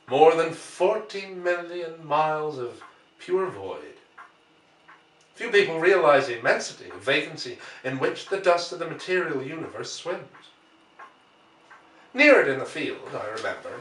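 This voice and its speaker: A man's voice: fairly high pitch, fairly bright tone. Echoey, distant man reading a story aloud. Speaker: audiobook reader. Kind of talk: dramatic literary narration, reading aloud. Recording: echoey room, distant microphone.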